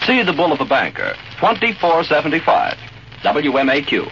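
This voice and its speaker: A man's voice: a little high, wildly swooping pitch, moderate pace, fairly bright tone. An American man reading station identification and time in 1940s radio announcer style. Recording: muffled 1940 radio recording, faint noise.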